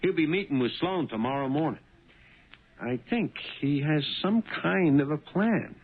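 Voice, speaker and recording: An actor playing an uneasy, honest working-class cab driver in a 1970s radio drama. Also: male voice, fairly high pitch, expressive, normal speed, heavy background noise. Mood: eager. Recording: tinny, band-limited 1970s radio broadcast.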